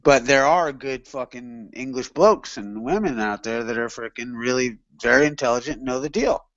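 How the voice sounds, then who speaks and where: A man's voice: medium pitch, moderate pace, a bit bright, dry recording, highs cut off. A man chatting casually as a panelist in an online hangout, rambling and profane, with lots of fillers. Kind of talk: casual chat.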